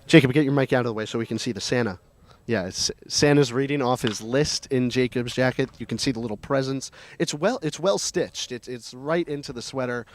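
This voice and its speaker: A man's voice: medium pitch, a little fast. A man giving conversational analysis as a co-host on a sports radio pregame show.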